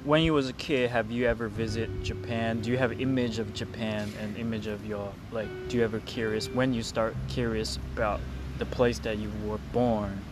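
A man's voice: fairly low pitch, steady tone, normal speed, few pauses, background noise. A man interviewing a guest in casual, loosely worded English, stringing his questions together in long rambling runs.

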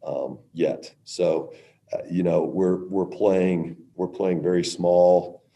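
A middle-aged man, a women's basketball coach, answering press questions in a halting way with many restarts. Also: low-pitched, fairly even intonation, gravelly, mellow.

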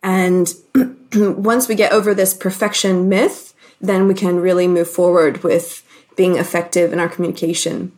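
A woman born in Hong Kong, a communication coach, talking casually as a guest and explaining her ideas with thoughtful pauses. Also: on the low side, steady tone, darkish tone. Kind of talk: casual interview.

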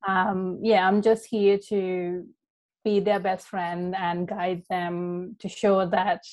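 A woman's voice: lowish voice, little pitch movement, slow pace, darkish tone. A young woman from Sri Lanka, a life coach, talking in a casual interview with an earnest, heartfelt manner and plenty of ums and you-knows. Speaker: podcast guest, life coach.

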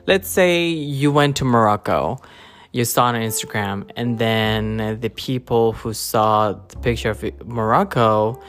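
Slow, hesitant podcast host musing casually. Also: medium pitch, fairly bright tone. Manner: hesitant, rambling, informal. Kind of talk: casual unscripted solo podcast monologue.

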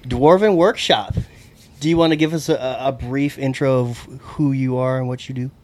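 A man hosting a casual podcast, chatty and joking, with quick asides to his guests. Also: mid-range voice, fairly even intonation, a little mellow, a little hiss or hum. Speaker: podcast host. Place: indoor recording room.

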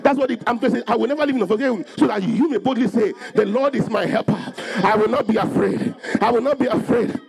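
Guy preaching a fired up sermon, really high pitched raspy creaky voice, repeats his lines for emphasis. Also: fast, hardly any pauses, a little mellow. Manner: emphatic, declarative, repeating phrases for effect.

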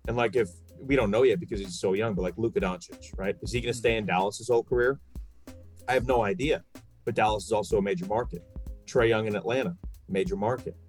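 A man from New York with a slightly deep voice talks sports casually. He makes his points emphatically and throws out rhetorical questions. Faint music sits under his voice. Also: fast talker. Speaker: sports podcast guest and radio play-by-play broadcaster. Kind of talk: casual sports talk, opinionated.

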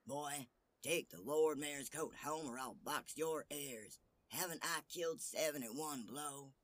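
A man voicing a brash, boastful little tailor in a storybook tale, loud and emphatic with drawn-out words. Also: on the high side, dry recording, voice pushed up. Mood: loud, threatening.

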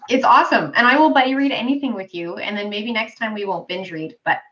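A woman's voice: mid-range voice, fast talker, pushed and bright, lo-fi sound. A chatty woman with a young-sounding voice, a podcast guest in casual conversation over a remote call. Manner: chatty, laughs easily. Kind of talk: casual chat.

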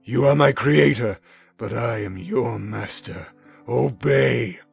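A man voicing a gravelly vengeful creature. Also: mid-range voice, voice pushed up, heavily acted. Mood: menacing. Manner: slow, heavy, threatening delivery. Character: vengeful lonely male creature, anguished and menacing. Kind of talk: dramatic audiobook reading. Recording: music bed underneath.

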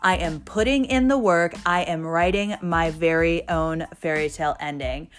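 A woman with a cold, her voice raspy and her nose stuffed, hosting a solo podcast and pausing for breath as she talks. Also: fairly low pitch. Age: 20s. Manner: pauses to catch breath. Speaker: podcast host.

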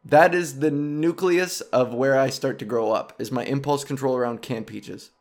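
A man's voice: mid-range voice, moderate pace. Rambling, swearing podcaster in his thirties. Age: 30s. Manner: rambling, self-deprecating, profane, jumps between tangents. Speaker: podcast host. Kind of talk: casual comedic podcast monologue.

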